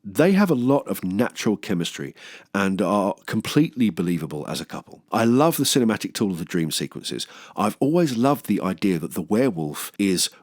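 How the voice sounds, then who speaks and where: A British man hosting humorous scripted film commentary, with wry, self-deprecating and expressive comic asides. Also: fairly low pitch, brisk, no echo, clean studio sound. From England. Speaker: film review video host.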